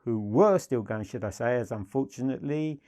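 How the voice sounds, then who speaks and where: A man's voice: medium pitch, normal speed, darkish tone. A middle-aged British man giving an informal product presentation as a dealer, enthusiastic and emphatic, often repeating his superlatives.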